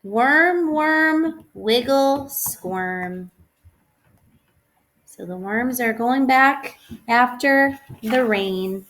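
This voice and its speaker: Higher-voiced woman teaching young children. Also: expressive, drawn-out words, a bit bright, low-quality recording. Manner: instructive, asks questions and answers them herself. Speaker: elementary school teacher. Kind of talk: read-aloud lesson for young children.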